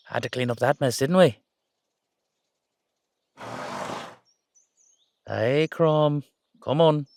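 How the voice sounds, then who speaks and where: A man plays an Irish dullahan rider in a roleplay audio drama, exasperated yet fond. He has a mid-pitched male voice and talks in slangy, coaxing, teasing banter. The sound is dry and close. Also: no room sound, lightly acted. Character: exasperated, affectionate Irish dullahan rider talking to his horse.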